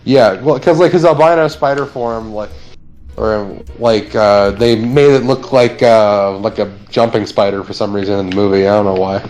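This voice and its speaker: A man's voice: fairly low pitch, natural voice. A man playing a laid-back wisecracking superhero. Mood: easygoing banter. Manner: relaxed, dry quips, drawn-out fillers. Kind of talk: improvised in-character chat.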